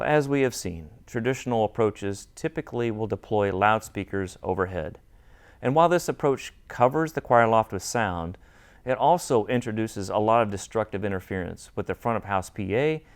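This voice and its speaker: A man speaking as an interview guest, giving a rehearsed technical explanation as an audio and acoustics designer. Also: fairly low pitch.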